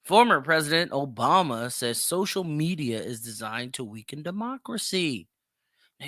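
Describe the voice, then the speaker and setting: A man's voice: medium pitch, sharp tone, dry recording, clean studio sound. A man giving opinionated solo commentary as a podcast host, emphatic and repeating phrases for stress.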